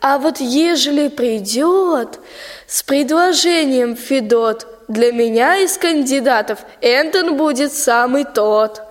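A stubborn, spoiled princess played by a young woman recites verse in a radio play. Her voice is very high and deliberately slow, echoing in a long hall. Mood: defiant, sulky. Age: young adult. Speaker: school student actor.

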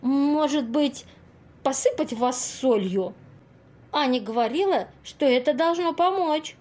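A woman plays a kind-hearted single woman at her wits' end in an audio drama. Her voice is high and her delivery unhurried. She sounds earnest, fretful and easily exasperated. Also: lively intonation, darkish tone. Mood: hesitant, solicitous. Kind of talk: amateur audio play acting.

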